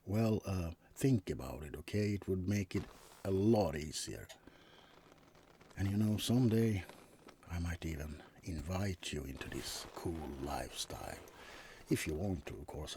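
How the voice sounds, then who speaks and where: Friendly centuries-old male vampire played by a man for ASMR roleplay: soft, breathy, unhurried, swinging delivery, slangy and hesitant. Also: deep voice, animated. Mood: casual, persuasive. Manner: rambling, slangy, hesitant, chuckling asides. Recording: faint background noise.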